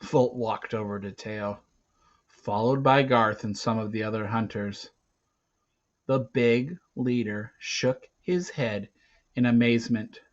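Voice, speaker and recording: Slow, theatrical male storyteller, dull recording. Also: medium pitch. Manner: theatrical, emphatic, with swings from hushed to loud. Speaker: reader of a children's adventure novel. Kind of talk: dramatic read-aloud narration.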